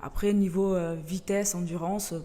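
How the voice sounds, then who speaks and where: A woman from Portugal with a Portuguese accent, a youth football coach answering interview questions in a plain, halting way with many fillers. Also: lowish voice, steady tone, warm tone. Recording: reverberant room, faint background noise.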